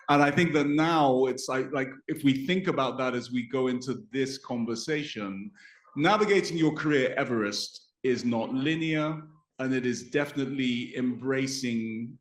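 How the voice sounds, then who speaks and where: Male panel host, playful, mid voice, echoey hall sound. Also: mid-range voice. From Nigeria. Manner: upbeat, jokey, addresses the audience directly. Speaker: panel moderator. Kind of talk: hosting a live career panel.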